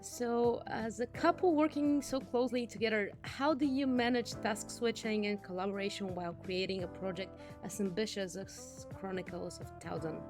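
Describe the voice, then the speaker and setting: Woman interviewer over clear background music. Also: mid-range voice, moderate pace, few pauses. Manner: complimentary, informal. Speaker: interviewer, host. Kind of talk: casual interview.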